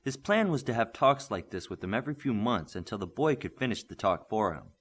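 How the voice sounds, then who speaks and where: A man's voice: on the low side, lively intonation, fairly quick, breathy edge. A man giving a scripted narration of a novel as an audiobook reader.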